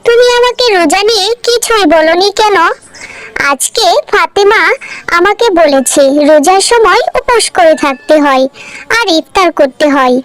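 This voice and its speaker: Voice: very high voice, animated, unhurried, a bit bright, full character voice. An actor voicing a naive, hungry little boy from a poor family in a children's cartoon story. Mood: eager, pleading.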